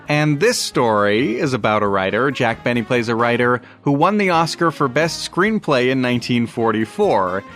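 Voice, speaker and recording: A man's voice: mid-range voice, lively intonation, moderate pace, hardly any pauses, a bit bright, soft background music. A man hosting a podcast, reading a scripted introduction. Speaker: podcast host.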